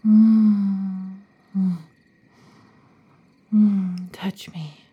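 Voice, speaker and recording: A woman's voice: mid-range voice, little pitch movement, very slow, breathy edge, highs cut off, heavily acted. A woman voicing a possessive, needy female werewolf lover in a whispered, intimate audio roleplay, murmuring and often whispering.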